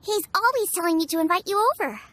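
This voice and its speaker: A woman voicing an eager, warm-hearted young girl chef in a video game, with an excitable manner. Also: very high voice, fast talker, no room sound. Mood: cheerful, eager. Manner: excitable, exclaiming.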